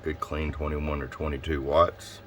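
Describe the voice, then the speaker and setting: Very deep-voiced man demonstrating gear. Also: little pitch movement, a little slow, a bit bright, slight background noise. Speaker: radio seller presenting a product demo. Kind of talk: casual product review and demonstration.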